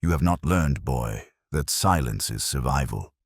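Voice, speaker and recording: A cold aristocratic villain acted by a male narrator with a very deep voice that rises and dips sharply. He is pompous and bitter, full of formal contempt, in a dry storybook recording. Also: animated, a little slow, breathy edge, no echo, full character voice. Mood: cold menace. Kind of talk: dramatised audiobook mystery.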